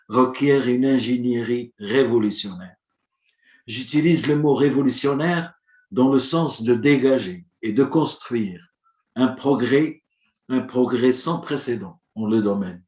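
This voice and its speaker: Male conference speaker, first language Arabic; formal, measured, rhetorical; mid-pitched voice in a roomy space, dull lo-fi sound. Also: a little mellow. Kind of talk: formal prepared address.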